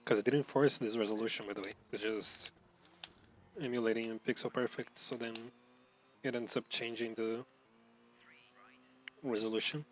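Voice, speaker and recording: A man's voice: mid-range voice, steady tone, moderate pace, long pauses, dull audio, a little hiss or hum. Mumbly swearing man from Brazil. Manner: rambling, swears a lot, mumbles words together; loud outbursts at driving mistakes. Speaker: gameplay streamer. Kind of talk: casual gameplay commentary.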